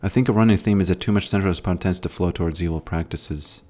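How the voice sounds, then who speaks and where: Low-voiced man streaming a game. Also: fast talker, warm tone, soft background music. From Latvia. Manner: rambling, musing, greets chat. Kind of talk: casual gaming livestream chat.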